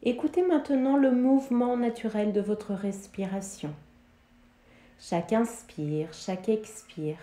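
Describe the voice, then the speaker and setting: A woman guiding a yoga nidra relaxation in a soothing, measured way, with pauses between short phrases. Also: mid-range voice, dark tone. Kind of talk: guided relaxation meditation, instructing listeners. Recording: roomy, reverberant sound.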